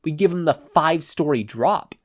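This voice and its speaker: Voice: mid-range voice, animated, moderate pace, pitched above the performer's own voice, close to an everyday voice. A narrator voicing a brutish, smug male yakuza henchman with a blunt, threatening manner. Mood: smug threat.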